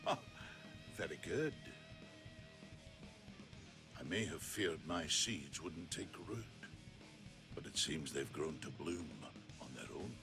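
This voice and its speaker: A man voicing a flamboyant, ageless vampire gentleman in a video game, grandiose, self-assured and unhurried. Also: a bass voice, animated, heavily acted. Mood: grand, philosophical. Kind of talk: video game character acting. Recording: studio voice under loud guitar music.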